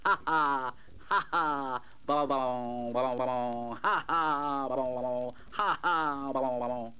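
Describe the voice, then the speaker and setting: A man voicing silly, mischievous goods trucks in a storybook, with groany, creaky voices and exaggerated delivery. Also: mid-range voice, measured pace, sharp tone. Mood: gleeful mocking. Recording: noisy old storybook LP.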